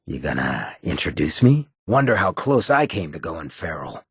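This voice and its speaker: A sardonic ghoul outlaw from a video game, with a heavily rasping, creaky voice. He is sly, laid-back and streetwise, a swaggering town boss who talks in slang. The sound is close and dry. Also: very deep voice, big pitch swings, moderate pace, fairly bright tone, dry recording, full character voice. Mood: sardonic musing. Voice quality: gravelly, raspy. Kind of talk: video game companion voice lines.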